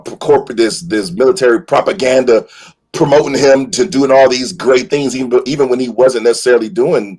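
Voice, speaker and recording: A man's voice: medium pitch, breathy edge. A man speaking casually and emphatically as a fan panelist, rambling through his thoughts in colloquial phrasing. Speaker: fan panelist on a live show. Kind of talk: casual passionate fan discussion.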